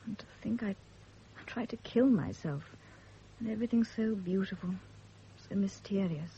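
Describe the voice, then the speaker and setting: An actress playing a devoted, anxious young wife in a radio drama, earnest and apologetic. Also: female voice, mid-range voice, normal speed, dark tone, close to an everyday voice. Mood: tender, wistful. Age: young adult. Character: anxious, devoted young pregnant wife, self-blaming and emotional. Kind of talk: period radio drama acting. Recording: dull, noisy old radio drama recording.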